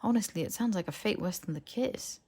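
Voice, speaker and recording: A woman voicing a brisk, bookish, sceptical young woman in an audiobook, explaining and questioning with precision. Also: medium pitch, lively intonation, a little mellow, breathy edge. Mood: thoughtful, explaining. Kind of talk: audiobook character dialogue.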